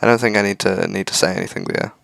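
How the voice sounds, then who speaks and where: A man reading a visual novel aloud as a let's-play host, with measured, earnest narration. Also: deep voice, big pitch swings, vocal fry, a bit bright, no echo, studio quality. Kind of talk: dramatic read-aloud narration with casual asides.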